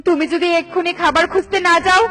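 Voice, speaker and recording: নারীকণ্ঠে খুব চড়া গলার ঝগড়াটে পাখি-বউ. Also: female voice, fairly even intonation, fast, distant mic. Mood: angry, threatening. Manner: shrill nagging, indignant. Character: scolding, bossy bird wife, hot-tempered and threatening. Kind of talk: Bengali children's cartoon dialogue.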